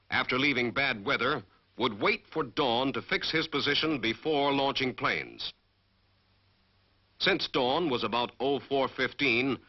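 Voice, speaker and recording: A man with an American accent giving formal, measured, scripted military narration as the narrator of a training film. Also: mid-range voice. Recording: dull 1940s film soundtrack.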